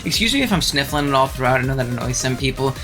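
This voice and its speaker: A man's voice: medium pitch, very fast, over music. A young man hosting his channel, chatting casually and animatedly about viewer takes, a little stuffed up with a cold. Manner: chatty and animated, frequent ums and laughs. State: stuffed up, congested. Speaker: channel host.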